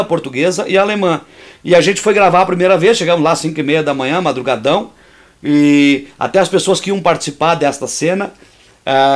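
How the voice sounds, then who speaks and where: A man, a filmmaker and actor, giving outspoken and opinionated commentary on how his film was made, talking freely with restarts. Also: on the high side. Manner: restarts and repeats words while talking freely. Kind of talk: commentary over a making-of.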